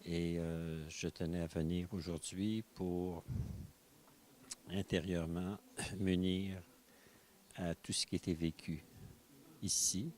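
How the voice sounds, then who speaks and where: Slow, low-voiced Québécois man. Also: flat delivery. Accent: Québécois French. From Quebec. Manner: soft-spoken, hesitant, searching for words. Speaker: visitor giving a testimony. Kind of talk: reflective personal testimony. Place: prayer room of a mosque.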